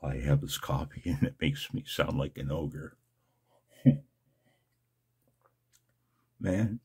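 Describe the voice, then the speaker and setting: Older male vlogger, very low and husky, halting confessional delivery, casual and unscripted. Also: darkish tone. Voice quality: gravelly, husky. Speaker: amateur radio video blogger. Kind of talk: personal screen-share vlog, casual monologue.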